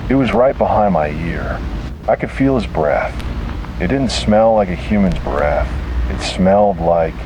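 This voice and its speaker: Male horror narrator, very low register, dramatic and deliberate, on a noisy found-tape recording. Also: expressive. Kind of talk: scripted first-person horror narration.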